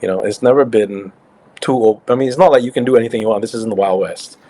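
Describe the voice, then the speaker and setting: A man's voice: lowish voice, fast talker, rough recording. A man in his late thirties from Singapore, with a slightly English-tinged accent, chatting casually as a guest over a video call and often restarting his sentences. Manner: relaxed, with frequent fillers and restarts. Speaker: guest, crypto company co-founder. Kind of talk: casual interview chat.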